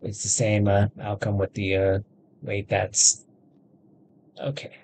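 A man in his thirties talks through a game in a casual, halting way. His voice is creaky and slow, as if thinking aloud while he types. There is faint noise and game ambience behind him. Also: on the low side, level tone. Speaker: gaming video host. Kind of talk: casual let's-play commentary. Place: indoors at a computer.

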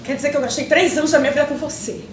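A young actress with a Brazilian accent playing an emotional woman pleading with a long-lost friend in improvised theatre, speaking haltingly with pauses. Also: high-pitched, fairly even intonation, close to an everyday voice. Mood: hurt, indignant. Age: young adult. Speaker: stage actress. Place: indoor theatre stage. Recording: roomy, distant, noisy stage recording.

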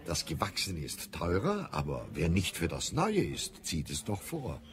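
An actor playing a chatty, matter-of-fact waiter in a radio drama. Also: male voice, low voice, huge pitch swings, unhurried, slight background noise, full character voice. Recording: busy crowd murmur behind.

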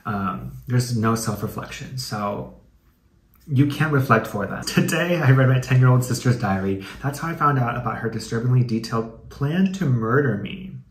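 A man's voice: mid-range voice, animated, normal speed. A young American man hosting a comedic video, reading aloud and commenting in a chatty, slangy, playfully dramatic way. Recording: echoey room with some background noise.

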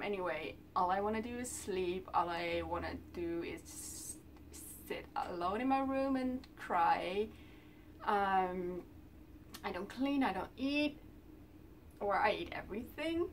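Young Dutch-accented woman vlogging from her room, casual and rambling, mid-pitched voice, mic set back with light background hiss. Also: far from the mic. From Netherlands. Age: 20s. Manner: chatty, lots of ums, talks with her hands. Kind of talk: casual talk to camera. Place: small bedroom indoors.

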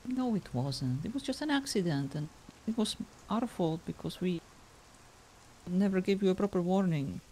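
A woman's voice: lowish voice, animated, normal speed, mellow, slight background noise, lightly acted. Woman playing hesitant, self-doubting storyteller, rain behind. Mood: sheepish. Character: Gio, awkward, self-doubting, embarrassed young storyteller friend. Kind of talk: improvised online roleplay in a fantasy game.

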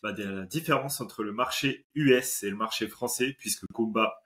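A man in his forties hosting an interview on a video call, relaxed and chatty with many fillers. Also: lowish voice, moderate pace. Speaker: podcast host.

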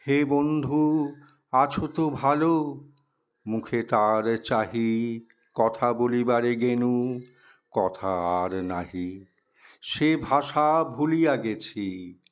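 Male poetry reciter, clear resonant tone, extremely unhurried, solemn, measured phrasing with pauses. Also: medium pitch. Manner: measured delivery with deliberate pauses between short phrases.

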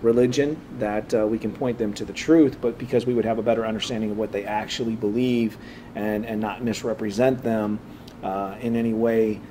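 Halting man praying, slightly raspy voice. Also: fairly low pitch, steady tone, dark tone, a little hiss or hum. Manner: halting, many "uh" fillers and restarts. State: slightly raspy from preaching, clearing throat. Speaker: church teacher. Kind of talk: opening prayer and Bible class teaching.

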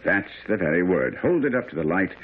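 Radio mystery: assured, didactic detective, very low voice, lively intonation, phone-like sound. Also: male voice, a little fast. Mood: keen, instructive. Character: brilliant, self-assured, incisive male detective. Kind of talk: radio drama acting. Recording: muffled, noisy old radio recording.

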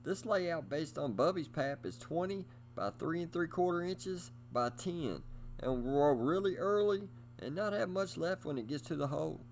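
A middle-aged man hosts a how-to video. His voice sits a little high for a man and swings widely in pitch. He delivers his scripted explanations in an upbeat, direct presenter style. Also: lively intonation, moderate pace, vocal fry. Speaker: host of a bowling instruction video. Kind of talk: scripted instructional narration.

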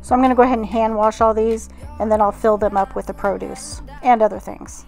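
A woman's voice: a little high, steady tone, brisk, gravelly, darkish tone, faint music behind. A middle-aged woman narrating household tasks in a casual vlog voice-over. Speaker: home organizing vlogger.

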